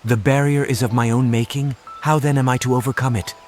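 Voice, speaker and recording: An actor voicing a troubled, earnest warrior with lost memory in a video game, speaking formally and gravely. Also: male voice, medium pitch, fairly even intonation, brisk, close to an everyday voice. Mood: strained, troubled. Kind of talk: scripted video game voice acting. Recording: studio voice under game music.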